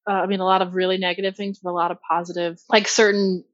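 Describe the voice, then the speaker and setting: A woman's voice: fairly low pitch, flat delivery, no echo. A woman from Ohio with a Midwestern American accent, chatting on a podcast in a bubbly, questioning way. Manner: bubbly, questioning, quick laughs. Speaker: podcast co-host. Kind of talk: casual podcast chat. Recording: slightly dull lo-fi audio.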